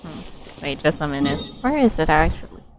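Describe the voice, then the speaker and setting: A woman's voice: low-pitched, big pitch swings, a little fast, noisy background. A woman giving casual, giggly, chatty live commentary as she streams a game.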